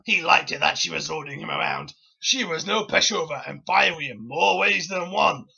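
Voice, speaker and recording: Very creaky, sharp, raspy storyteller. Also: medium pitch, moderate pace, dull audio. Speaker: narrator. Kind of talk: comic dramatic reading of fan fiction.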